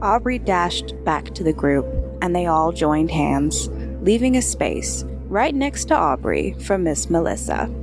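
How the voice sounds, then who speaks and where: A woman reading a scripted audio drama as narrator, measured and storytelling. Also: lowish voice, sing-song. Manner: measured storytelling, shifting into character voices. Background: background music.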